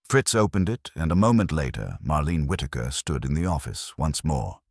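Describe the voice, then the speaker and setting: Very deep-voiced man narrating, husky, dry sound. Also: very animated, moderate pace, no room sound. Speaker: audiobook narrator. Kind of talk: scripted first-person detective story narration.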